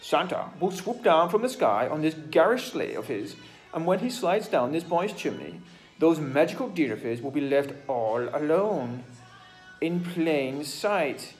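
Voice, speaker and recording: A man putting on a posh storybook villain, a greedy hunter who gloats and sneers at the top of a raised, fairly high voice. The tone is loud and scornful, pompous and plainly wicked. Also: big pitch swings, unhurried, soft background music, rough recording. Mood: gloating. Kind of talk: bedtime storybook reading.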